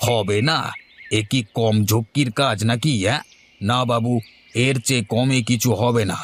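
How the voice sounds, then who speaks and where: A man's voice: mid-range voice, fairly even intonation, measured pace, a little hiss or hum, natural voice. A man voicing a boastful, money-minded village charm-seller in a cartoon, emphatic and argumentative. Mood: boastful, wheedling. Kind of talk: cartoon dialogue.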